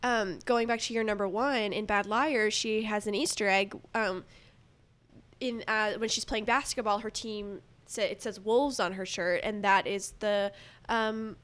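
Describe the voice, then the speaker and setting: A woman's voice: medium pitch, steady tone, unhurried, a bit bright. A woman speaking as a comedian guest on a podcast, chatting casually with a giggly, interjecting manner. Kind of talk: casual chat.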